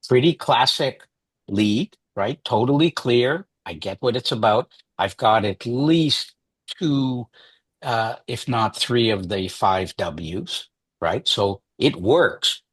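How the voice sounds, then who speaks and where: A man leading a journalism writing workshop in a chatty, conversational way, full of fillers and quick "right?" checks. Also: medium pitch, expressive, slightly rough, no echo. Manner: chatty, many fillers and restarts, asks "right?".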